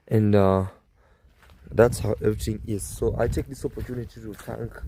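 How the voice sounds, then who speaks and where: A young man vlogging at his family home, soft-spoken and mournful, with a hoarse voice and many fillers. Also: lowish voice, slight background noise. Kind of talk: casual vlog to camera.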